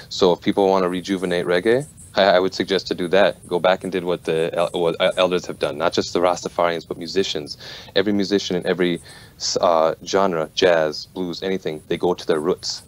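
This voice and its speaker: A man with a very deep voice, talking fast on a radio panel. He speaks emphatically, with a preachy conviction. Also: little pitch movement. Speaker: panelist, musician. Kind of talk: casual panel discussion.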